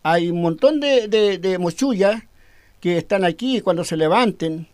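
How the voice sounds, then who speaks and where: A high-pitched man speaks firmly as a cacique under questioning, repeating words and stumbling as he builds his point. The recording is dry and close, with no room sound. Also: expressive, normal speed, no room sound. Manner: firm, repetitive, stumbling over words. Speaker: Mapuche cacique being interviewed. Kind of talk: radio interview answers.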